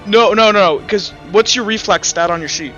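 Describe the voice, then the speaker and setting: High-voiced male game master. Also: fairly bright tone. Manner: halting, informal. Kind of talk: improvised tabletop game narration. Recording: slightly muffled with music behind.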